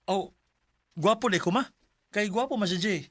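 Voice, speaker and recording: A woman's voice: medium pitch, moderate pace, noisy background, lightly acted. A woman playing a cheeky, friendly boy in a Cebuano radio drama. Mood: playful teasing. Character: cheeky, friendly boy, teasing his pal.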